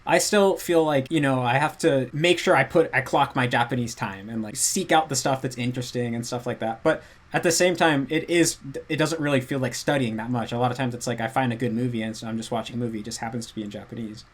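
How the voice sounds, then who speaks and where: Man, casual guest chat, earnest, animated, explains at length. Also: mid-range voice, quick pace. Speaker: guest language learner.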